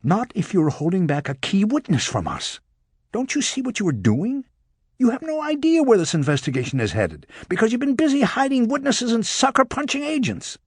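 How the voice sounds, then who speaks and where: Sharp female federal agent, raised husky pitch, man-voiced. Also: very animated, normal speed, dry recording, clean studio sound. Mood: angry, scolding. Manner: scolding, forceful, sarcastic. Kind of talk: audiobook character dialogue.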